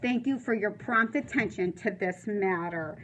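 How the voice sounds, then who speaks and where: A woman's voice: medium pitch, unhurried, slight background noise, pitched below the performer's own voice, lightly acted, poor audio. A woman voicing a haughty, indignant parent's formal letter of complaint from a novel, pompous and emphatic. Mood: haughty indignation.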